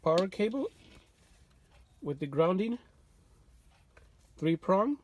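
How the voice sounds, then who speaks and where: Guy in his workshop walking thru a radio rebuild, kinda high voice, dry close sound, lots of ums. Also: slow pace, dry recording. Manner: relaxed, unscripted, with fillers and restarts. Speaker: vintage radio restorer. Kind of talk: casual show-and-tell workshop video.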